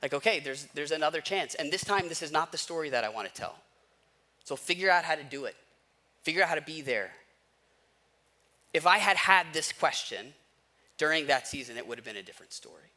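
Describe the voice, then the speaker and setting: A man's voice: on the high side, lively intonation, a little fast, long pauses, sharp tone. An American man in his thirties preaching a conversational sermon, telling personal stories in everyday slang and posing rhetorical questions. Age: 30s. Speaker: pastor preaching.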